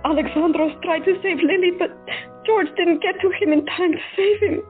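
A woman's voice: very high-pitched, moderate pace. A woman voicing a grieving, devoted female doctor in an audio drama, speaking in a trembling, tearful way. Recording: thin phone-like sound with faint music.

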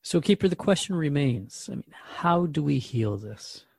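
A man's voice: on the high side, expressive, normal speed, creaky, darkish tone, husky, no echo, studio quality. A man acting as a radio host in a drama, asking questions in an earnest, interviewing manner.

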